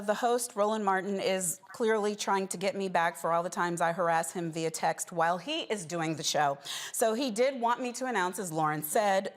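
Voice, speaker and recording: Emphatic American woman hosting a panel. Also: fairly low pitch. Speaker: panel host. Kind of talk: political panel discussion.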